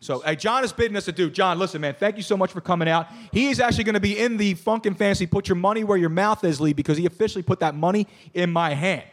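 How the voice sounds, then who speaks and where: A man with a hoarse, somewhat high voice hosts a sports talk show and runs through the week's news. He gets excitable, rambles and often starts his sentences over. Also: quick pace, hardly any pauses. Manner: excitable, rambling with many restarts. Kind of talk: casual sports chat. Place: bar venue.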